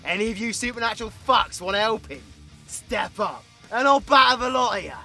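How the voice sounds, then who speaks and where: A man's voice: sits high, animated, brisk, bright, forceful tone, soft background music, full character voice. A British man playing a cocky, foul-mouthed, brawling supervillain in an audio roleplay, mocking and crude. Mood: furious, brawling. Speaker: voice actor.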